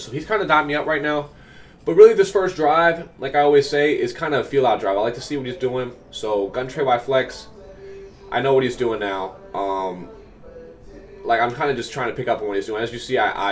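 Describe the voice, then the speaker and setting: A young man in his twenties, slightly nasal from a cold, giving upbeat casual commentary on his own game from a small room at home. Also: lowish voice. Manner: upbeat, explanatory, many fillers. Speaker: gaming coach and YouTuber.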